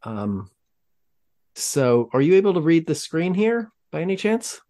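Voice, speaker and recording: A man's voice: a little high, sing-song, unhurried, dark tone. Rambling male podcast host. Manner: rambling, full of ums and restarts. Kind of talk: casual chat.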